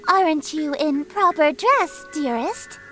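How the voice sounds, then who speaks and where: A woman's voice: sits high, expressive, takes it slowly, a bit bright, faint music behind, exaggerated voice. A woman playing a regal eldritch queen of chaos in a game, speaking formally and imperiously with menacing sweetness and theatrical condescension. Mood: haughty, coolly threatening. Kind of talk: visual novel game character acting.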